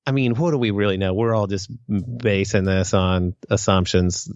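A man's voice: fairly low pitch, normal speed, dry recording, lo-fi sound. A man co-hosting a podcast in casual fan discussion, thinking aloud and hedging as he goes. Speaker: podcast co-host.

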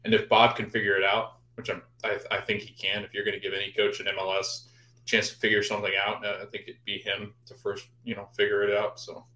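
A man's voice: on the low side, completely flat delivery, quick pace, pushed and bright. A man giving casual, rambling soccer opinions as a podcast co-host, thinking aloud in an echoing room. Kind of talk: casual sports opinion chat. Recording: roomy, echoing sound.

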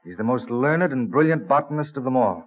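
A man plays a colonial plant collector in an old radio play. He is plain-spoken, modest and enthusiastic, with lively swings of intonation. The sound is narrow and muffled. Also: medium pitch, fairly quick, natural voice. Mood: admiring. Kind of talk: vintage radio drama acting.

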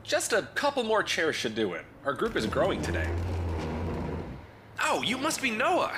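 A man's voice: high-pitched, huge pitch swings, normal speed, background noise. A man playing an upbeat, welcoming counselor who leads a support group in an audio drama, reassuring and encouraging. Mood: welcoming, cheery.

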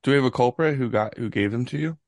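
A young man co-hosting a casual podcast chat with quick, teasing banter. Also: medium pitch, darkish tone, no echo, studio quality. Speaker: podcast co-host.

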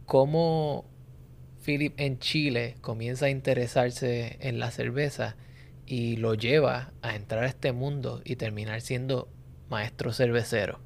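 Gravelly-voiced male podcast host. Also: mid-range voice, steady tone, measured pace, slight background noise. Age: 30s. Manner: frequent tag questions. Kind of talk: interview hosting.

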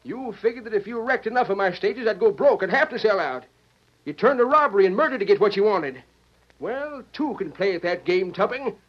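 An actor playing a stubborn, blustering old American stage line owner in an old-time radio Western. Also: male voice, high voice, animated, fairly quick. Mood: furious, defiant. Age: older. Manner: blustering, combative, folksy Western speech. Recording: dull lo-fi vintage radio recording.

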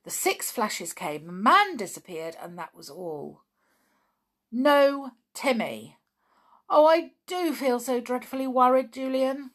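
A woman's voice: on the low side, sing-song, slow pace, a bit bright, slightly rough, heavily acted. A woman voicing a worried, stubborn young tomboy girl in a storybook, speaking in an emphatic, pleading way. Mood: anxious, breathless.

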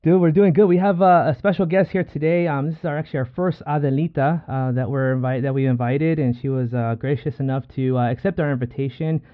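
A man's voice: a little high, fairly even intonation, quick pace, few pauses. A man hosting a podcast in casual, slangy, upbeat chat, welcoming people as he talks. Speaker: podcast host. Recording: phone-like, narrow-band podcast call.

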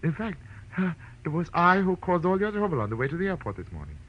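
An actor playing a smug, scheming crime boss in a vintage radio drama, silky and gloating with theatrical politeness. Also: male voice, sits high, big pitch swings, brisk. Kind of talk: 1940s radio drama acting. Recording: dull, noisy vintage radio recording.

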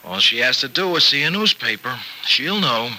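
A man's voice: mid-range voice, very animated, moderate pace, sharp tone, a little hiss or hum, rough recording. An actor in an old-time radio soap opera playing a folksy, generous American farmer who hesitates earnestly as he worries over an injured friend. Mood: reassuring. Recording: vintage radio drama recording.

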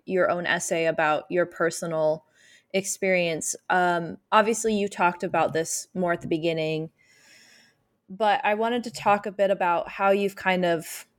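A woman's voice: lowish voice, fairly even intonation, measured pace. A young adult woman interviewing a scholar, asking long, thoughtful questions full of hedges. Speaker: podcast interviewer.

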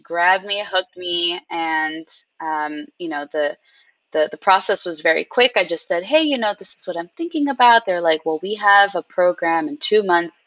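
A woman guest telling stories in a casual interview, animated and acting out what people said. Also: lowish voice, normal speed, dry recording, recorded from a distance, dull audio. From Queens, New York. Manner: animated storyteller, acts out quotes. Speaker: guest, game company founder.

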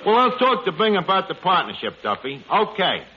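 A man's voice: high voice, huge pitch swings, brisk. An actor playing a cocky, working-class New bar manager who mangles his words with hesitant, slangy talk in a 1940s radio comedy. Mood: breezy, wry. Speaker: lead comic actor in a radio sitcom. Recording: muffled, noisy old radio broadcast, audience.

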